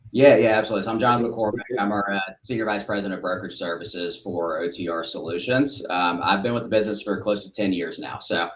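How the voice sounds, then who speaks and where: Upbeat southern guy, real deep voice, echoey muffled remote audio. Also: steady tone, fast, few pauses. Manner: upbeat, friendly, explains in long flowing lists. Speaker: guest, brokerage executive. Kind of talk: casual podcast interview.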